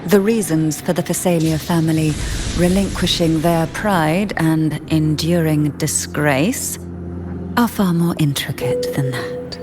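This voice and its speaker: A woman voicing a poised, secretive noblewoman in a video game story, speaking in a composed, refined and deliberate way. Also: sits low. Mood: grave, composed. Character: poised, secretive noblewoman of an old disgraced family. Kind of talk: video game story voice acting, measured exposition. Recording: game music behind the voice.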